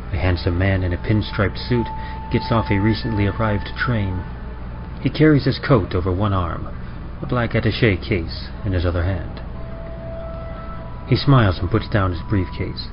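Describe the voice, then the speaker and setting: A man reading dramatic scripted horror narration in a measured, deliberate way. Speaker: host and story reader. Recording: muffled sound, loud rumbling train-like noise.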